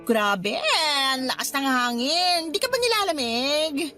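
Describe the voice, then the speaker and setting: A woman's voice: high-pitched, big pitch swings, unhurried, bright, forceful tone, faint music behind, exaggerated voice. An actress playing a scolding, sarcastic young woman in a radio drama, nagging her friend with emphatic delivery. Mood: mock disgust. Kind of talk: radio drama dialogue in colloquial Taglish.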